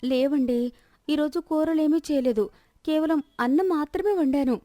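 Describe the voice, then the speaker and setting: A woman's voice: high-pitched, moderate pace, dark tone, no room sound, voice pushed up, close to an everyday voice. A woman voicing a polite, obliging housewife with an innocent-sounding manner in a moral story. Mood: mock innocent. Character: polite, obliging young housewife turned slyly defiant.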